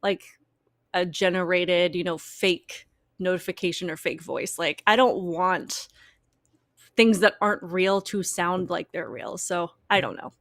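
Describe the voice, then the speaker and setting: A woman's voice: on the low side, fairly even intonation, measured pace, fairly bright tone, dry recording, studio quality. A woman co-hosting a talk show, chatting casually with frequent laughs and a slightly whiny voice. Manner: laughs often, talks in repeated starts. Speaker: podcast co-host.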